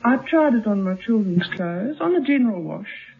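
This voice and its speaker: A woman's voice: medium pitch, big pitch swings, moderate pace, breathy edge. A woman giving an earnest, slightly hesitant testimonial in a vintage radio advertisement. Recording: tinny old radio recording.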